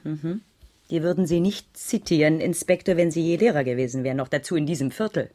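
An actress playing a world-weary, defensive woman schoolteacher in a radio crime drama, speaking in a dry, measured, sardonic way. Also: deep voice, warm tone. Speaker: actor in a radio crime play. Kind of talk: 1980s radio drama dialogue.

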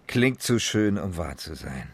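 An actor playing a commanding, sardonic police sergeant interrogating suspects in a radio crime drama. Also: male voice, sits low, big pitch swings, measured pace, husky, dry recording, full character voice. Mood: stern, sarcastic.

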